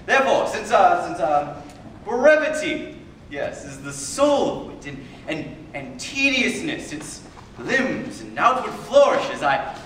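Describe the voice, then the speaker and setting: A man playing a pompous, rambling old courtier in a comedic classical stage monologue, halting and repeating himself theatrically. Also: high voice, very animated, a bit bright, exaggerated voice. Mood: self-important, meandering. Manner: halting, repetitive, drawn-out theatrical phrasing. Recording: very echoey room, far from mic, faint noise.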